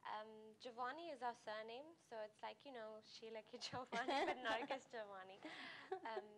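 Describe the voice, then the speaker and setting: A woman's voice: on the high side, normal speed, a loud crowd. A young woman, a pageant finalist, answering interview questions in a bubbly, giggly way with many "you know"s. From United Kingdom. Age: young adult.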